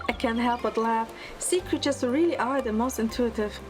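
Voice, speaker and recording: A woman's voice: on the high side, normal speed, natural voice. A woman playing a playful, teasing young heroine who narrates her story in an audio drama, in the first person. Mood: gentle narration. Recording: music under the voice. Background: background music.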